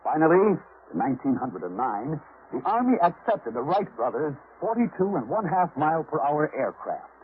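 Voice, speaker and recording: A man announcing in a formal, measured, declamatory 1950s scripted narration style. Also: fairly high pitch, sing-song. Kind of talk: 1950s scripted military public service narration. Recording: noisy, tinny old radio recording.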